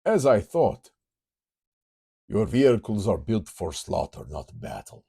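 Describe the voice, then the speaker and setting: A man's voice: fairly low pitch, sing-song, measured pace, gravelly, mellow, breathy edge, full character voice. A man voicing a grave, commanding male hero with a put-on German-Russian accent in a comic book reading. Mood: fierce. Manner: solemn, formal, stately.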